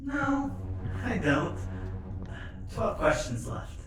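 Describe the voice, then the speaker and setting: An actor voicing a mocking, menacing space station artificial intelligence with theatrical, deliberate delivery in an audio drama. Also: mid-range voice, very animated, heavily acted. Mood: cold, taunting. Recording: echoing, distant sound over music.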